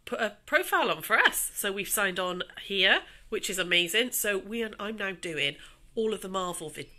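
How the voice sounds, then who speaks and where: A middle-aged woman with a bright, forward voice that sounds a little snuffly and blocked in the nose. She speaks at a medium pitch in a chatty, informal way, like a craft tutor talking to online viewers from home. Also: expressive, moderate pace. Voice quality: slightly snuffly nasal. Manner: chatty, greeting viewers by name with endearments. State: snuffly from allergies. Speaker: craft tutor hosting a livestream. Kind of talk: casual live chat to viewers. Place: dining room at home. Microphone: phone on livestream.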